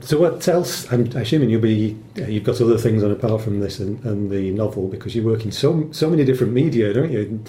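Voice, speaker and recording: A man's voice: expressive, fairly quick, few pauses, mellow. A man asking interview questions in a casual, slightly hesitant, informal way. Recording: roomy echo, background rumble.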